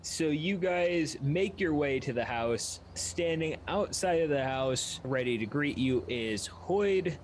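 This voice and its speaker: A man's voice: a little high, animated, takes it slowly, hardly any pauses, a little hiss or hum. A man narrating a tabletop game as game master in an informal, descriptive manner.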